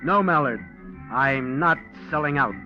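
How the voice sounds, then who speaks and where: A wary American businessman, played by a male actor, clipped and guarded, with a medium-pitched but animated delivery. It is 1940s radio drama acting on a dull old recording. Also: unhurried, close to an everyday voice. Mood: uneasy. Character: wary, tense male junior business partner and husband. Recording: lo-fi old radio, music behind.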